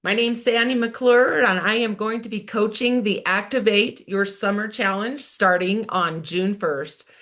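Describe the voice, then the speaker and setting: A woman's voice: mid-range voice, a bit bright. Upbeat woman in her sixties coaching. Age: 60s. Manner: upbeat and encouraging, addresses viewers as "you guys". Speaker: wellness challenge coach. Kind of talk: casual direct-to-camera welcome talk.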